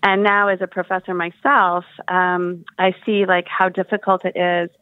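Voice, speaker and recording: A woman's voice: on the low side, little pitch movement, moderate pace, dry recording. A woman in her forties, a professor calling in, speaking in a reflective, articulate way. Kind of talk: phone-in testimony. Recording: phone line.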